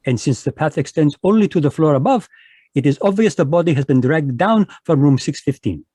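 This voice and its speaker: An actor playing a fussy, precise Belgian detective in a 1940s-style radio play, with a put-on French accent, courtly and lecturing, dropping French words. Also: male voice, on the high side, expressive, fairly quick, warm tone, husky, no echo. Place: home, live video call.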